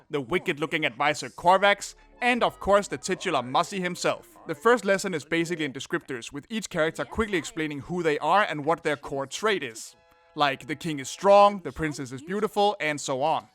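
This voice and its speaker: A man in his thirties from Denmark, speaking English, giving a sarcastic, animated scripted review. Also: a little high. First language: Danish. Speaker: video reviewer.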